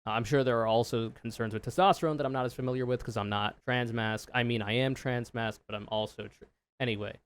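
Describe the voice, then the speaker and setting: A man with a low voice giving casual, opinionated reaction commentary, swearing freely and explaining in loose run-on sentences. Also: fairly even intonation, quick pace, clear, resonant tone. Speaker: streamer reacting to a video.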